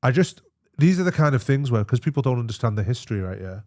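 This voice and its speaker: A man's voice: mid-range voice, big pitch swings, fast talker, warm tone. A man speaking as an interview guest in casual, matey conversation, often repeating himself. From Australia. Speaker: interview guest, emergency physician.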